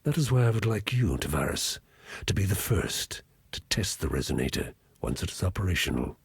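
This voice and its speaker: An imperious high templar in a video game, issuing formal orders with cold menace. The voice is lowish and extremely raspy. His pompous, precise delivery rises and falls with animated emphasis. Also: normal speed, no echo, studio quality, heavily acted. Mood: coldly menacing.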